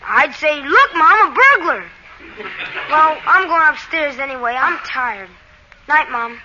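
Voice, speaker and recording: A woman playing a skeptical, excitable little boy, whiny and blunt, in a 1940s radio comedy. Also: high voice, unhurried, background noise. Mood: sleepy, skeptical. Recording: dull vintage radio recording.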